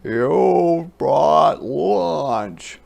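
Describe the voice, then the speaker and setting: A man's voice: fairly high pitch, expressive, very slow, vocal fry, darkish tone, voice pushed up, full character voice. A man voicing an eager, hungry goat with braying exclamations in a children's storybook. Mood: pleasantly surprised.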